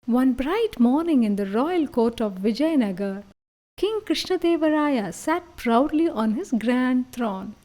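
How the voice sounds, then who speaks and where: High-pitched, animated woman telling children's stories. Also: measured pace. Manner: expressive, addresses listeners as friends. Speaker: storyteller. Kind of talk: scripted children's story narration.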